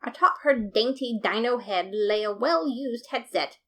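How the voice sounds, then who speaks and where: A woman reading a fan story aloud as narrator, with lively, playful storytelling. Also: on the high side, measured pace. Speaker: podfic reader.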